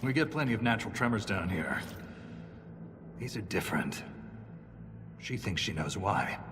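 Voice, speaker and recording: Low, gruff dwarf soldier. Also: male voice, big pitch swings, normal speed, noisy background. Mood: skeptical. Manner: dry, sardonic, plain-spoken. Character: gruff, wry dwarf soldier of a doomed underground legion. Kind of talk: video game dialogue acting. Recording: long cavernous reverb, music underneath. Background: game music.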